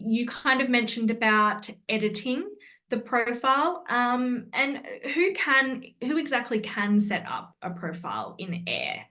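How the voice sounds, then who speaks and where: A woman moderating an online session in an informal way, inviting and asking questions with hesitant pauses between phrases. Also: a little high, bright, forceful tone. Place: online video call.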